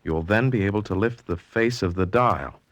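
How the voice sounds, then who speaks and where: An American actor playing an excitable, anxious young heir in a 1940s radio drama, hesitant in his delivery. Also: lowish voice, moderate pace, dry recording, lightly acted. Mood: excited, astonished.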